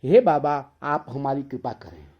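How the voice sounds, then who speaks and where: A man acts out a reverent young mother from a devotional tale, beseeching and distressed. The words come very slowly, in halting appeals. The recording sounds dull and lo-fi. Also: medium pitch, lively intonation, slightly rough. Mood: pleading, reverent.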